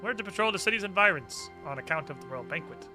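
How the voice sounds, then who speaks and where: A man's voice: a little high, lively intonation, fast, lightly acted. A man voicing a dutiful city guard from a fantasy game in formal, archaic, courteous phrases. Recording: game music under the voice.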